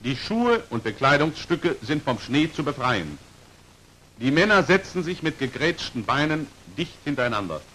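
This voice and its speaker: A male narrator with a fairly high, animated voice delivers scripted 1940s instructional film commentary. His is formal and precisely articulated, with pitch that moves widely from phrase to phrase. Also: moderate pace, a little hiss or hum, rough recording. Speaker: narrator of a military training film.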